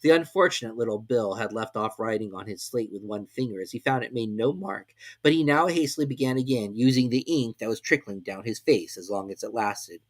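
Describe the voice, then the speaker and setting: A man giving an expressive, measured dramatic reading of a classic tale. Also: fairly low pitch. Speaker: storybook reader.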